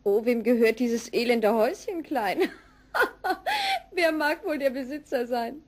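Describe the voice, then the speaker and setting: A woman's voice: on the high side, big pitch swings, a little slow. A woman playing a proud, spoiled young princess in a fairy-tale audio play, dramatic and plaintive. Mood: lamenting.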